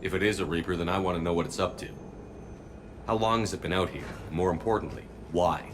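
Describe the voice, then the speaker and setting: A man's voice: sits low, fairly even intonation, quick pace, background noise, natural voice. A man playing a determined military commander in a video game, authoritative and clipped. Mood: grim, determined. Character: commanding male military officer, brisk, determined leader. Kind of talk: dramatic video game acting. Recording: loud music and game sound behind. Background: game music.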